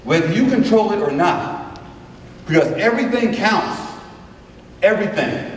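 Man, high voice, motivational stage talk, emphatic repetition, distant, long reverb, faint hiss. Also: animated, unhurried. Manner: emphatic, repeating words for effect. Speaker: motivational speaker. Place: large auditorium. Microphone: far camera in audience. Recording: echoey hall recorded from far off.

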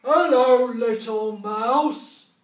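A woman voicing a huge, inviting bear with a growling, booming voice in a storybook. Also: extremely slow. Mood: booming welcome. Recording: roomy classroom, distant mic.

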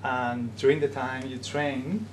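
Young man with a Peruvian Spanish accent. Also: mid-range voice. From Peru. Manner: halting, with frequent "um" pauses. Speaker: guest speaker. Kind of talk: informal talk to a class. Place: classroom, indoors. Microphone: camera in the room. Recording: classroom recording with steady noise.

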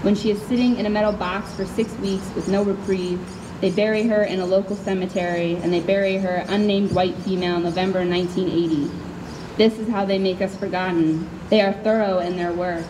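A woman's voice: mid-range voice, steady tone, a little fast. A young woman from Chicago with an American accent, a poet at a live spoken word reading, candid and informal as she projects into a stand microphone. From Chicago, USA. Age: young adult. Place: outdoors under a canopy tent. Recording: clear background noise, outdoor event ambience.

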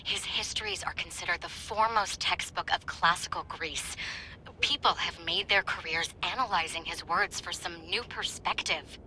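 An actress playing an eager, earnest young historian with a bookish enthusiasm in a video game. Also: female voice, fairly low pitch, normal speed, sharp tone, slight background noise, poor audio. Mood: earnest, admiring. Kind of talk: video game cutscene acting.

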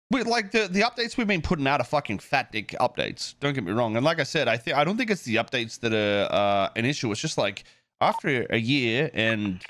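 A man's voice: mid-range voice, a bit bright, dry recording, studio quality. A man talking casually and off the cuff on a stream, swearing freely and thinking aloud, like a game developer chatting to viewers.

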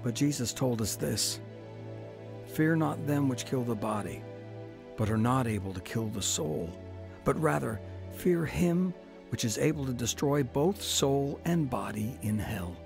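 An earnest man telling his own conversion story in radio-drama style, turning preacherly at the end. His mid-pitched voice has a gravelly edge, with soft music underneath. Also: expressive, unhurried. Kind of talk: dramatized testimony narration.

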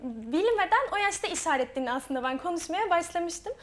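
Young woman talking fast and high. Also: lively intonation. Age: young adult. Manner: earnest, quick, with frequent hesitations. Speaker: student author, guest. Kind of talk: interview answers.

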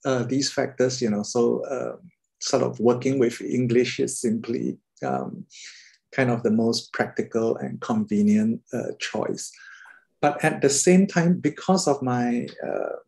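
Hesitant gravelly man on a video call. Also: medium pitch, measured pace, a little mellow. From Singapore. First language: Mandarin. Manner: thoughtful, hesitant, many fillers. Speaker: artist.

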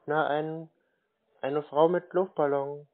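A man's voice: a little high, fairly even intonation, slow, phone-quality sound. A man speaking as a naive, confused, literal-minded customer in a phone conversation. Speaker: scambaiter playing a naive customer. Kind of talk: slow, bumbling phone conversation. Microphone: telephone call.

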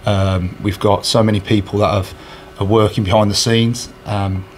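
A man in his thirties talks in a somewhat low voice, earnest and halting, full of fillers and restarts. He is recorded indoors on a handheld recorder held close, with faint ambient noise behind him. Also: level tone, darkish tone. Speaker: charity match organiser. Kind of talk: casual interview answers. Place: indoors in front of a sponsor board. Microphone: handheld recorder held by interviewer.

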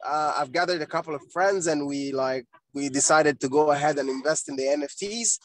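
A man from Egypt joining a video call from his car while driving, asking his question eagerly and a little rushed. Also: fairly high pitch, poor audio. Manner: eager and excited, a bit rushed.

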